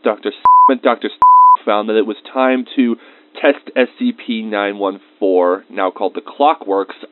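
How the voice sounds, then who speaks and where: A man's voice: fairly low pitch, no room sound, natural voice. A man playing a research scientist dictating a confiding, emotionally involved audio diary of lab logs. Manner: confiding, dictated log entries with emotional asides. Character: anxious male research scientist recording lab logs, increasingly shaken. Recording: phone-like thin band-limited sound.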